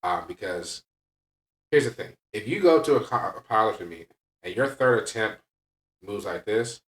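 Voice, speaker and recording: A man's voice: on the low side, animated, normal speed. A man talking candidly and casually as a podcast host and coach, emphatic and blunt, often checking in with "right?". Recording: echoey room, distant from mic.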